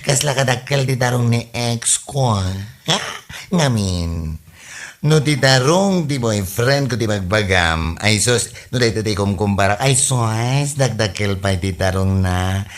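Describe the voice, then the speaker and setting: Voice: medium pitch, lively intonation, a little slow, close to an everyday voice. A performer with an Ilocano accent playing a gossipy, bantering village woman in a Filipino comedy audio drama, exclamatory and teasing.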